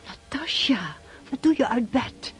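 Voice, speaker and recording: An actress playing a loving but practical middle-aged countess and mother in a radio drama, gently admonishing in a husky voice. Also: sits high, very animated, measured pace, soft background music, exaggerated voice. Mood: gently stern.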